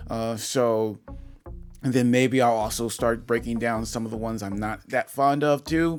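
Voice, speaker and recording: Gaming channel host guy, casual and rambly, faint music behind him. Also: mid-range voice. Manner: rambling, upbeat, many restarts. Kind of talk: casual video update and interview.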